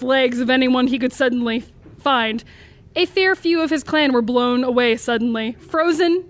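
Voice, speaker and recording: High-pitched woman reading a comic story. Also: a bit bright. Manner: amused, breaking into laughter as she reads.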